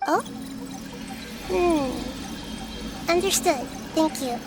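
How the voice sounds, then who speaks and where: A gentle young goddess. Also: female voice, sits high, very slow, full character voice. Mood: thoughtful. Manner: soft-spoken, thoughtful and reasoning. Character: youthful goddess of wisdom, gentle and thoughtful. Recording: game audio with music. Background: game music.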